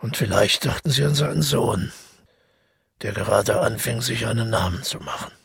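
An actor playing a reclusive, brooding countryman who muses haltingly over his memories in a radio play, with a gravelly, husky voice. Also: medium pitch, lively intonation, no room sound, clean studio sound, heavily acted. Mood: somber remembering. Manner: musing, halting monologue.

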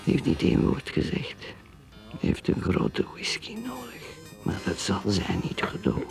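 A woman acting in a radio drama as a bitter middle-aged mother in a breathy, half-whispered inner monologue. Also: very deep voice, huge pitch swings, moderate pace, full character voice. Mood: bitter, brooding. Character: bitter, brooding mother mourning her daughter's marriage. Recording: loud guitar music behind voice. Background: plucked guitar music.

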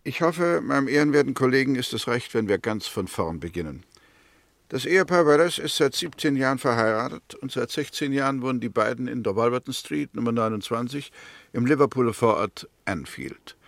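An actor playing a courteous English crown prosecutor in a radio play, laying out a case formally, deliberately and precisely. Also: male voice, mid-range voice, animated, vocal fry, dry recording. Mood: methodical, composed. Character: methodical, courteous male English crown prosecutor, coolly arguing. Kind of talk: scripted radio drama acting.

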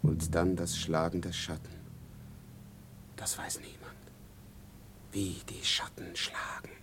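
A man's voice: low-pitched, level tone, slow, whispered. An actor playing a dreamy condemned soldier who reports lyrically and deferentially to his captain in a poetic radio drama. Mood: dreamy, wistful.

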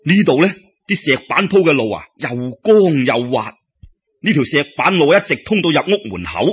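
Male Cantonese radio storyteller: husky, animated, colloquial narration with exclamations; dull lo-fi recording. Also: a little high. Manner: colloquial, expressive, with exclamations and drawn-out particles. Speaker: storyteller, radio show host.

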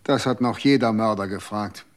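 A man's voice: lowish voice, moderate pace, lightly acted. A man playing a cold, curt guardian. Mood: cold, weary. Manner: calm, curt. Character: cold, aloof former guardian of the dead. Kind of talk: radio drama acting.

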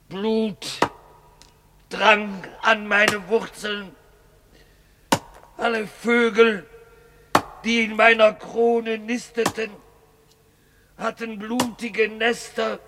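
Suffering tree in a radio play, super slow, gravelly, halting. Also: male voice, sits high, pushed and bright, slight background noise, heavily acted. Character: anguished old tree, bleeding and burdened. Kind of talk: poetic radio drama.

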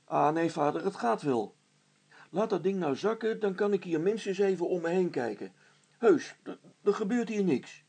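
A man voicing an eager, level-headed boy, the son of a handyman, in a storybook, in a matter-of-fact, high-pitched voice. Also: animated, moderate pace, vocal fry, darkish tone, slightly rough, heavily acted. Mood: pleading.

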